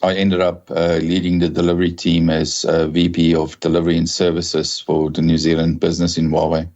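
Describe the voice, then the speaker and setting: Deep, low South African man in his fifties, measured and steady, a little lo-fi. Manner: measured, with small restarts. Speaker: guest, telecom executive. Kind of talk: podcast interview.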